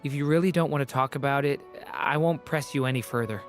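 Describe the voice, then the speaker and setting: An actor voicing a gentle, earnest young male artificial being in a video game, sincere and a little hesitant. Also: mid-range voice, fast talker, lightly acted. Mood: earnest, careful. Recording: game music behind.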